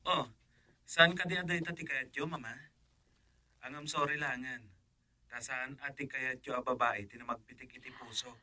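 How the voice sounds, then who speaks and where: A man's voice: mid-range voice, steady tone, moderate pace, long pauses, sharp tone, no room sound. A man with a Filipino accent acting in a radio drama as an earnest son in love, eager to marry. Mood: earnest, reassuring. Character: adult son in love, eager to marry, reassuring his mother.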